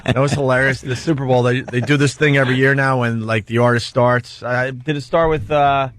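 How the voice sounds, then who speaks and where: A man's voice: mid-range voice, steady tone, fairly quick, a bit bright, slight background noise. A man hosting a radio show with casual comedic banter, mocking and incredulous in manner. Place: radio studio.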